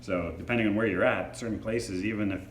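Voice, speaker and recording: A man's voice: fairly low pitch, fairly even intonation, fast. A man reviewing a product in a casual, hands-on demo, explaining features informally. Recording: echoey room with faint background noise.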